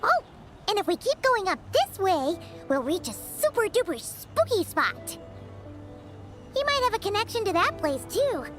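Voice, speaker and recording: Super high squeaky game fairy, bubbly and bouncy, swoops everywhere. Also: female voice, very animated, a little slow, fairly bright tone, faint music behind, heavily acted. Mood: excited. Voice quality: squeaky, childlike. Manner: exclamatory, bubbly. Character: tiny excitable childlike female fairy companion, talks in third person. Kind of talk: video game voice acting.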